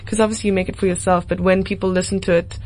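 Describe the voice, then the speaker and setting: A woman's voice: fairly low pitch, little pitch movement, rapid-fire, a little mellow. A woman in her twenties from Cape Town with a South African accent, speaking earnestly and reflectively as a musician guest in a casual radio interview. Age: 20s. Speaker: singer-songwriter guest.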